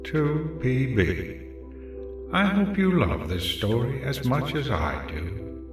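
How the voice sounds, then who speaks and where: A man voicing a fond male pony reading out his note, sincere and deliberate, under a heavy echo. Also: mid-range voice, very animated. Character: fond male pony, a handwritten note's author. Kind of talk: voice-over of a written note. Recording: very echoey, music underneath.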